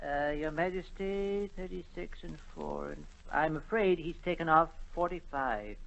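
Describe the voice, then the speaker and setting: A man's voice: fairly high pitch, a little slow, fairly bright tone. A fussy, self-important male court official in a vintage radio play. He sounds officious and deferential to royalty, heard on a dull, muffled old recording. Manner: officious, hesitant when counting, deferential. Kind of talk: 1940s radio acting.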